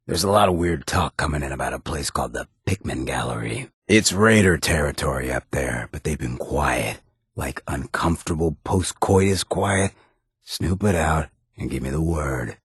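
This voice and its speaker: An actor playing a roguish, irreverent ghoul in a video game, with a raspy American voice and a wry, cocky, streetwise drawl. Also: male voice, a bass voice, a bit bright, no echo, studio quality, exaggerated voice. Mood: businesslike, sly. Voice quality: raspy, gravelly. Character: roguish drug-loving irradiated ghoul, swaggering, irreverent, loyal. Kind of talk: video game companion dialogue.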